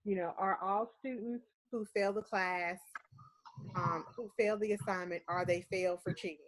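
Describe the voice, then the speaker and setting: A woman with a stuffy, congested nose speaks into a video call at an ordinary middle pitch. She walks through her points in a measured, explanatory way and keeps filling gaps with "um" and "of course.". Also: steady tone, slight background noise, low-quality recording. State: stuffy nose from a head cold. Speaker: student presenter. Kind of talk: class presentation over video call.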